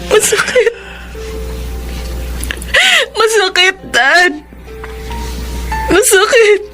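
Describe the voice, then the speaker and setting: A woman's voice: very high voice, lively intonation, extremely slow, bright, forceful tone, heavily acted, low-quality recording. A woman with a Filipino accent playing an emotional, heartbroken betrayed wife and dutiful daughter in a radio drama. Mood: sorrowful, resigned. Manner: emotional, tearful, melodramatic. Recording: drama music under the voice.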